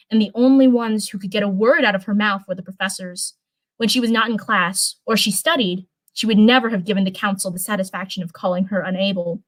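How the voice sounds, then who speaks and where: Female live-stream reader, mid-pitched, casual story narration with informal, chatty delivery. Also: a bit bright, rough recording. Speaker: streamer reading fan fiction live.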